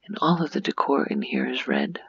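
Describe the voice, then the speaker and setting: A woman giving a soft-spoken ASMR game tour, hushed and often slipping into a whisper, breathy and creaky, rambling unhurriedly as she thinks aloud. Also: a bass voice, sing-song, brisk, dry recording, dull audio. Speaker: gaming ASMR host.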